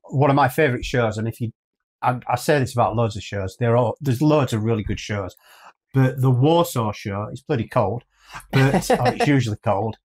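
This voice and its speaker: A man's voice: medium pitch, normal speed, husky. A man in his fifties with a British accent, chatting casually and enthusiastically as a co-host, rambling along. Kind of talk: casual chat. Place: small furnished room, indoors.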